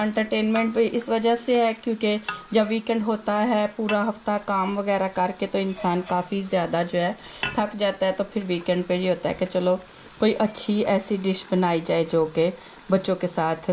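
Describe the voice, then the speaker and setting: A woman with a sore, hoarse throat hosting a home cooking video, chatting her way through each step. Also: mid-range voice, a little mellow, a little hiss or hum, rough recording. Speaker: cooking channel host. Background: frying and sizzling in a kitchen.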